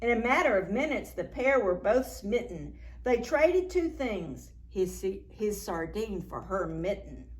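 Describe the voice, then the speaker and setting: A woman's voice: medium pitch, big pitch swings, a little slow, few pauses, slight background noise. A woman reading a picture book aloud to preschoolers as a story time host, expressively, with asides and questions to her young listeners.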